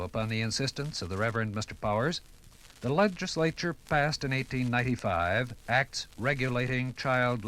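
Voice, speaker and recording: A man giving scripted 1950s radio documentary narration with formal, deliberate, dramatic phrasing. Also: mid-range voice, a bit bright, poor audio.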